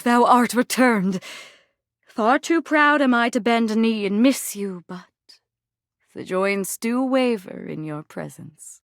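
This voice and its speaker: A woman voicing a proud, fervent warrior woman in a video game, theatrical and declamatory, in archaic high-flown speech. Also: no room sound, studio quality. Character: proud, fervent female warrior, grandiose and lovestruck. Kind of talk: theatrical game character acting in archaic English.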